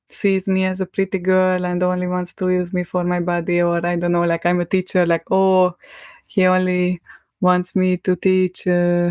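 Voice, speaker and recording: A woman's voice: on the low side, darkish tone, clean tone, no echo, clean studio sound. A young woman with a Hungarian accent, a podcast guest talking in a rambling, hesitant way and laughing often between thoughts. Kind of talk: casual conversation.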